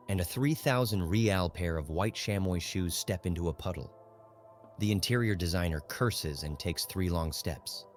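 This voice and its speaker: A man narrating a literary audiobook in a scripted, dramatic storytelling style. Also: sits low.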